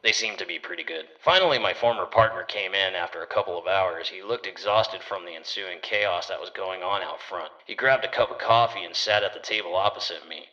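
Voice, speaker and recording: A man playing a private detective, narrating his case in a measured, matter-of-fact noir radio-serial style. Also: low-pitched, sharp tone, recorded from a distance, highs cut off.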